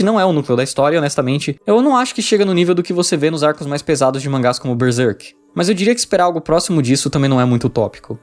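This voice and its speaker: A man with a Brazilian accent reading a scripted video review. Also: fairly high pitch.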